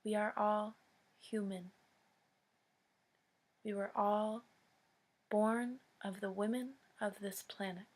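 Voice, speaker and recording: An American woman giving an earnest public address, speaking solemnly and deliberately in short phrases with long pauses. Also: medium pitch, flat delivery. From United States.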